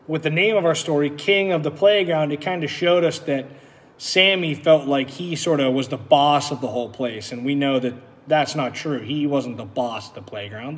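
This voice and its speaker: A man's voice: on the high side, normal speed. A man speaking as a teacher to young children, in the style of a read-aloud lesson. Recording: echoey room with long reverb.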